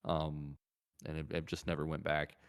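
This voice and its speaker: Low-voiced man in casual, hesitant chat. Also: monotone, normal speed, clear, resonant tone. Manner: hesitant, repeats words while thinking aloud. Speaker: podcast co-host. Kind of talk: casual gaming podcast chat.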